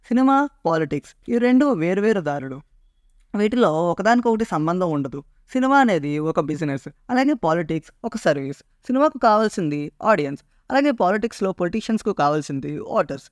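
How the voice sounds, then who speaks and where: Guy narrating an explainer video, high smooth voice, really dry close sound. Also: dry recording. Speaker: video presenter.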